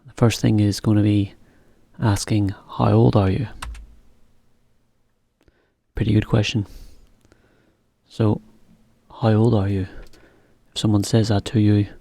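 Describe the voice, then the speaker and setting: A man teaching a beginner English lesson, explaining slowly in plain words with example sentences. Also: lowish voice, flat delivery, vocal fry, mellow.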